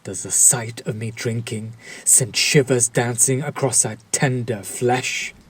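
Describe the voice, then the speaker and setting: A man's voice: medium pitch, steady tone, slightly rough. A man playing a suave, possessive vampire lord in a roleplay audio drama, speaking to the listener in a courtly, seductive way. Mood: suave, menacing. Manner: courtly, seductive, slow and deliberate.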